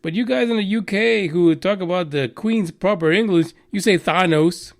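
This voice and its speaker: A man's voice: high voice, moderate pace, gravelly. A Texan man hosting a radio news show, rambling and mocking with plenty of swearing and filler words. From Texas. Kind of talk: profane rambling news commentary.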